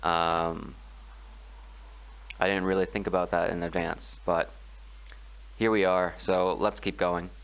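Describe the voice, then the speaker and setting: A man explaining a grammar exercise in a tutorial, speaking in a slow, measured way with frequent pauses and filler sounds. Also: sits low, flat delivery, creaky, a bit bright, clear, resonant tone, rough recording. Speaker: language tutor. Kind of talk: screen-recorded grammar tutorial.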